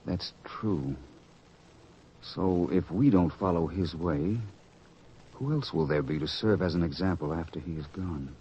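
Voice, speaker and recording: A man playing an earnest disciple of Jesus in an old radio drama, speaking solemnly and deliberately with formal diction. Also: low voice, warm tone. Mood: solemn, contrite. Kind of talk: 1940s radio drama acting. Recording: dull old radio recording, noisy.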